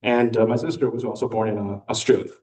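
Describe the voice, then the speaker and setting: A young American man giving a casual personal talk with slides to a club meeting, relaxed and chatty with frequent fillers. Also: lowish voice, quick pace, recorded from a distance, slight background noise. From United States. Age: young adult. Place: meeting room.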